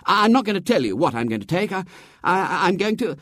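A man's voice: high voice, sing-song, a little fast, fairly bright tone, no room sound, studio quality, voice pushed up, exaggerated voice. A man voicing a miserable, lovesick young man in a comic story, stammering out plaintive, self-dramatising protests. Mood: indignant.

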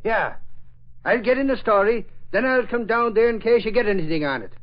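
An actor playing a bumbling, boastful newspaper reporter who stammers and hesitates, in a vintage radio drama. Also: male voice, sits high, brisk, close to an everyday voice. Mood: chatty. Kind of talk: 1940s radio acting. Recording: dull old radio recording.

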